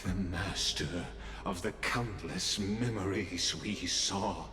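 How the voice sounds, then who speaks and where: A man's voice: sits low, slow pace. A man playing a grave, ominous video game warrior villain with solemn, declamatory delivery. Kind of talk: dramatic video game voice acting. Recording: game music over the voice. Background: game music.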